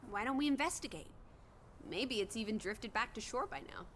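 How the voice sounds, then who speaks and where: A woman's voice: a little high, expressive, moderate pace, fairly bright tone, a little hiss or hum. A woman voicing a playful, teasing, carefree young companion in a video game, with a breezy, cheeky delivery.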